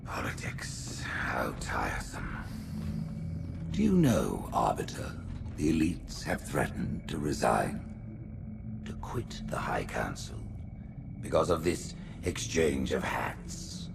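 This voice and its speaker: A man's voice: huge pitch swings, takes it slowly, in a whisper, exaggerated voice. An actor voicing a scheming old male alien hierarch who speaks in an imperious, weary, manipulative manner. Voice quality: deep, gravelly, silky. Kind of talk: video game cutscene acting. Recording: game soundtrack with music behind.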